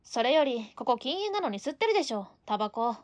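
A woman plays a feisty girl of eighteen with a high, closely recorded voice. She is a strict young landlady in a radio drama, bossy and cheeky as she scolds her lodgers. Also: sing-song, fairly quick, no echo. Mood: scolding. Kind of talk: Japanese radio drama acting.

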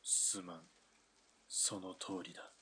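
A man's voice: deep voice, level tone, slow pace, bright, forceful tone, breathy edge, exaggerated voice. A man as a curt, stern army officer. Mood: firm. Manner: commanding, curt, authoritative. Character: stern Japanese army lieutenant colonel. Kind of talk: hobby radio drama acting.

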